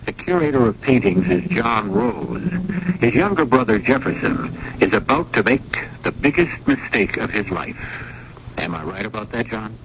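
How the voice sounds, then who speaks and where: A man's voice: mid-range voice, very animated, normal speed, noisy background. A man hosting a radio drama, narrating scripted suspense in a wry, rhetorical way. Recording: dull lo-fi old radio with loud music.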